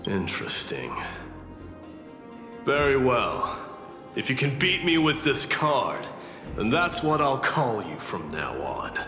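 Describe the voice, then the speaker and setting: A man's voice: medium pitch, big pitch swings, slow pace, pauses often. A man playing a haughty, taunting male rival in a video game, amused and challenging. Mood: mocking. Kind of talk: English video game voice acting. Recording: echoey hall reverb, faint music behind.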